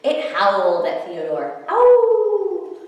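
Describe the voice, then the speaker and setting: A woman reading picture books aloud to children in an expressive way, with asides to her listeners. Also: on the low side, measured pace, highs cut off. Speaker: children's librarian, story time reader. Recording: echoey hall, far from the mic.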